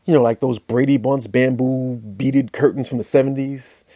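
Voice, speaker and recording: A man's voice: medium pitch, steady tone, normal speed, dark tone, no echo, highs cut off. A man hosting a podcast, telling a comic story in a loose, chatty way full of "you know"s. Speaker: podcast host. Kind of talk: comic monologue.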